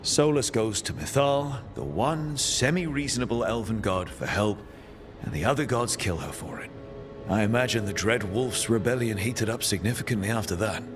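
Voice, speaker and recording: A man's voice: fairly low pitch, lively intonation, normal speed, over music, lightly acted. A man playing a level-headed team leader in a fantasy game, wry and plain-spoken. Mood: reasoning, wry. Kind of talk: naturalistic game dialogue.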